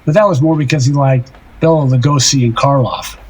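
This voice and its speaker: An actor playing a lovesick, self-deprecating older married man in an audio drama, rambling wistfully and haltingly in a husky voice. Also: medium pitch, a little mellow, natural voice, low-quality recording.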